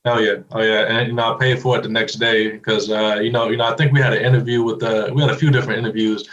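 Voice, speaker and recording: A man's voice: fairly low pitch, level tone, quick pace, a bit bright, muffled recording. A man in a team interview, telling a story in a rambling way with many you-knows. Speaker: student team member.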